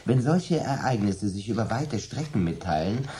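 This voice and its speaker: An actor playing a middle-aged prankster dinner guest in a radio play, teasing and lowering to a menacing hush. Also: male voice, very animated, normal speed. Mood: musing.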